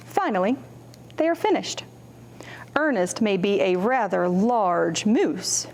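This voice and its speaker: A woman hosting a children's storytime, reading and explaining in simple, deliberate phrases for young children. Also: medium pitch, creaky, a little mellow, a little hiss or hum.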